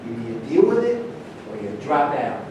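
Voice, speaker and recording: An actor playing a blunt, unsympathetic man with deliberate delivery in a staged play reading. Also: big pitch swings. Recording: echoey room, distant mic, rumbly background noise.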